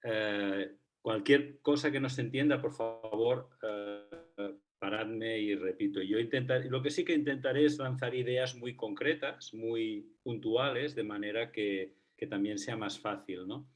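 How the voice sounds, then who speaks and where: A man's voice: on the low side, a little slow, faint music behind. A man with a Catalan accent, a researcher speaking as a debate guest, hesitant with many fillers and restarts. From Catalonia, Spain. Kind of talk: debate talk.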